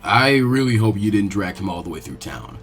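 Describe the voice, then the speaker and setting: Play as a man acting out a gruff, world-weary ninja mentor in an anime story: pitch dropped, dry and wry, with lively turns of intonation. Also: a little fast, soft background music, close to an everyday voice. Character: gruff, wry grown male ninja teacher, smoker.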